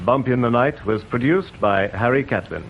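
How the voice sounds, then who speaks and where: A man's voice: mid-range voice, moderate pace. A man with an English accent reading formal credits as a radio announcer. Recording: dull, hissy old radio recording.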